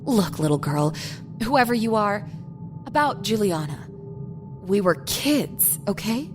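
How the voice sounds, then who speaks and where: A woman's voice: medium pitch, expressive, music in the background, voice pushed up. A woman playing an irritable, skeptical, defensive woman in an audio drama, with a blunt, impatient manner. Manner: blunt, impatient, halting when cornered.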